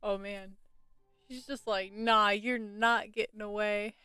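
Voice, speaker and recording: A woman's voice: mid-range voice, fairly even intonation, slow pace, clean tone, no echo, studio quality. A woman game streamer giving casual, chatty live commentary and reacting aloud as she plays. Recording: loud game music behind voice. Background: video game music.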